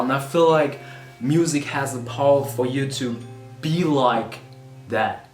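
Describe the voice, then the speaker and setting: A man in his twenties, born in Vietnam, rambling casually to a camera about music, self-conscious and swearing now and then. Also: mid-range voice. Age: 20s. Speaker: vlogger and musician. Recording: roomy sound with music underneath.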